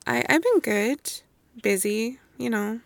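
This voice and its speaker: A woman's voice: on the high side, lively intonation, slow pace, vocal fry, dry recording, heavily acted. A woman playing an apologetic, awkward young woman back among old friends in a naturalistic audio drama, speaking in a hesitant, conversational way.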